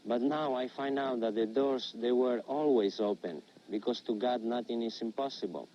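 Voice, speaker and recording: A man's voice: medium pitch, moderate pace, slight background noise. A man whose first language is Russian, giving an earnest personal testimony in simple phrasing, heard through dull, lo-fi old film sound. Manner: earnest, simple phrasing with small grammar slips. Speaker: interviewee in archive documentary.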